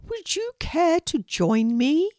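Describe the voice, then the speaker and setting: A woman voicing a gracious, kindly elderly queen with a gentle, genteel manner in a storybook reading. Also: high-pitched, very animated, slow, no echo, studio quality, voice pushed up, full character voice.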